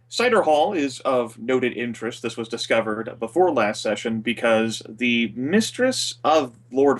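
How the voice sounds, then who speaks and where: A man with a booming voice recapping a tabletop game session over a voice call, rambling with many fillers. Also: fairly bright tone, far from the mic. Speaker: game master.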